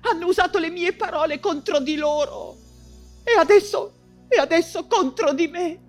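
An actress playing an anguished, ailing woman writer imprisoned in a camp, in a halting, fevered theatrical monologue. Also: very high-pitched, faint music behind. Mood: pained, defensive. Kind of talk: dramatic stage monologue in Italian theatre.

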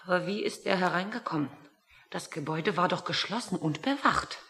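Insistent interrogator, radio satire, deep female voice, brisk, inquisitive, commanding. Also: expressive, fairly bright tone. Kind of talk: satirical radio play acting.

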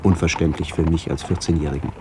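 A middle-aged man reading a literary memoir for radio in a measured, sober way, with a gravelly voice. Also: extremely low pitch, fairly even intonation, noisy background. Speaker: author-narrator of a memoir radio play. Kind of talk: scripted literary narration, reminiscence.

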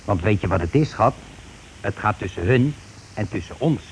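An actor playing a scheming, irritable husband in a radio play, speaking insistently and colloquially. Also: male voice, lowish voice, expressive, moderate pace, husky, full character voice, low-quality recording. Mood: calculating, irritated. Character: scheming, irritable husband covering his tracks. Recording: hissy old radio recording.

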